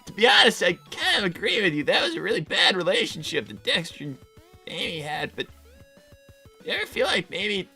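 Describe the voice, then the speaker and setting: A woman's voice: fairly low pitch, very animated, brisk, sharp tone, husky, faint music behind, full character voice. A woman voicing a mild young male fox who is hesitant, stammering and conciliatory, in improvised livestream comedy.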